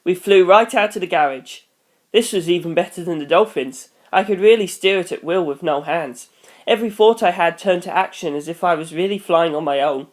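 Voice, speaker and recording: Someone reading an action story aloud in first person, middle pitched voice. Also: a little fast. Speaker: audiobook reader.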